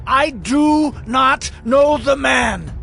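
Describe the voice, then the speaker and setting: Very high, gravelly, frightened disciple. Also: male voice, lively intonation, drawn-out words, heavily acted. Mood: desperate. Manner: agitated, insistent. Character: frightened, defensive disciple denying accusations. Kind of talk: dramatized scripture audio drama. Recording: loud dramatic music underneath.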